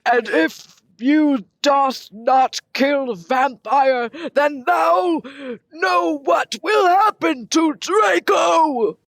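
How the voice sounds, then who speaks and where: Someone doing an angry pompous evil wizard villain, super slow, dry close sound, olde english style, comedy read. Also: gravelly, no room sound, shouting, exaggerated voice. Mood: furious, commanding. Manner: pompous, drawn-out booming mock-archaic delivery. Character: angry archaic-speaking male dark wizard villain. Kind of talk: amateur comedic table read.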